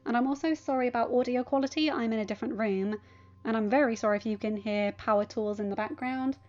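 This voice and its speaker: A woman's voice: on the high side, fast, a little mellow, highs cut off. A woman narrating fan fiction and chatting casually to listeners as the host, recorded in a room at home.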